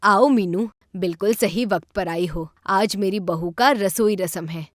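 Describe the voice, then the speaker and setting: A woman voicing a firm, protective middle-aged mother in an animated story. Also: medium pitch, a little slow, no echo, clean studio sound, voice pushed down, close to an everyday voice. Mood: welcoming.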